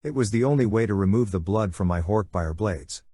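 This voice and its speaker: Husky, low-voiced male storyteller. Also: animated, brisk, warm tone, no room sound, studio quality. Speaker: audiobook narrator. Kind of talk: scripted dramatic first-person narration.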